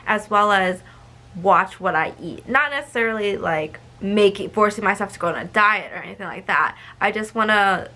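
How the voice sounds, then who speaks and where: Bright-voiced young woman vlogging. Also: medium pitch, animated, moderate pace. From United States. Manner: chatty, with frequent ums and likes. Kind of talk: casual vlog monologue.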